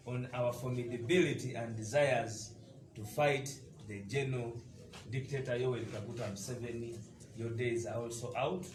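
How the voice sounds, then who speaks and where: A man's voice: mid-range voice, distant mic. A man from eastern Uganda with a Ugandan accent, a party deputy spokesperson giving an emphatic, combative press conference statement with frequent hesitations. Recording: echoey room picked up from afar, noisy.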